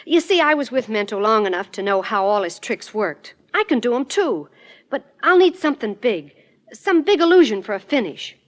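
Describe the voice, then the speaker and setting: An actress playing a plain-spoken, wounded magician's assistant under suspicion, in earnest, colloquial 1940s radio style. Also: female voice, a little high, sing-song, normal speed. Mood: pleading.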